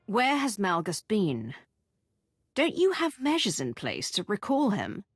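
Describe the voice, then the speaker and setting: An actress playing a cool, commanding Sith commander in a video game, direct and controlled. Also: female voice, medium pitch, wildly swooping pitch, a little slow, breathy edge, no echo, clean studio sound, heavily acted. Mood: probing.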